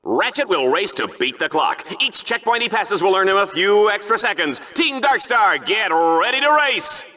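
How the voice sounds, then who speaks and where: A man's voice: high voice, sing-song, normal speed, bright, forceful tone, heavily acted. A man playing a smarmy, gleeful announcer of a deadly combat sport in a video game, with showy, mock-dramatic delivery. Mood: excited. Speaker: sports show host.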